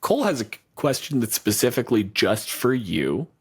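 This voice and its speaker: A man's voice: medium pitch, very animated, moderate pace, slightly rough. A man co-hosting a podcast and running the game, teasing, swearing and rambling casually over a remote call. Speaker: podcast co-host and game master. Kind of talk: casual banter. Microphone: remote recording over video call.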